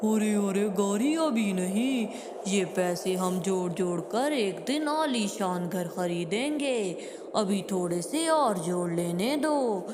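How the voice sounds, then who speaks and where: A miserly male crow from a cartoon, voiced by a woman grumbles reluctantly at a slow pace. Long reverb surrounds the voice. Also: mid-range voice, expressive. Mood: grumbling. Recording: noisy, long hall-like reverb.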